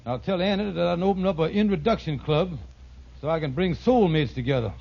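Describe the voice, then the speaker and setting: An actor playing a scheming middle-aged hustler in an old radio sitcom, with wheedling sales patter and grandiose misused words. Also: male voice, on the high side, a little fast, vocal fry, a little mellow. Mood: conniving. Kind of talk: 1940s-50s radio sitcom acting. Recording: noisy, muffled vintage radio recording.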